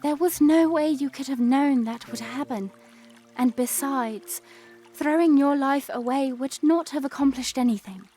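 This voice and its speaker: A woman plays a young princess in an audio drama, warm and determined, with a high, light voice. She speaks in a gentle, reassuring way, with faint music behind her. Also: unhurried. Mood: comforting. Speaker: voice actor.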